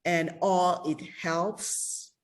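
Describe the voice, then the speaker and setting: A woman from Haiti moderating a panel conversation, speaking deliberately and with emphasis. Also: lowish voice, steady tone.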